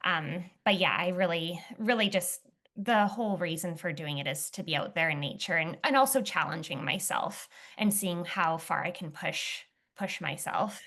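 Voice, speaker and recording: A young woman guest in an interview, talking casually with many fillers and restarts, her voice slightly hoarse. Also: fairly low pitch, normal speed, fairly bright tone. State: slightly hoarse, clearing throat. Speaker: footwear testing lead, interview guest.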